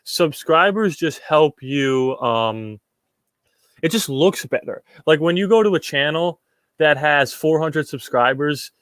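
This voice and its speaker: A man's voice: mid-range voice, a bit bright, dry recording. A young man from Puerto Rico hosting a casual livestream, reading chat questions and answering them in a rambling way, with repeated words and many ums.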